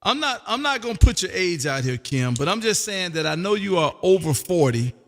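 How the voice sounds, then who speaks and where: A man's voice: high voice, very animated, a little fast, in a large hall. An American man hosting a casual amateur radio chat in a folksy way, often repeating his phrases. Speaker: amateur radio operator and live stream host.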